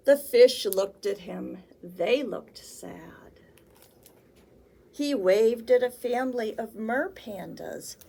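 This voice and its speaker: A woman hosting a children's storytime, talking and reading aloud in simple, deliberate phrases for young listeners. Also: fairly high pitch, expressive, creaky, dark tone. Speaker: children's librarian, storytime host. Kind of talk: picture-book read-aloud for small children.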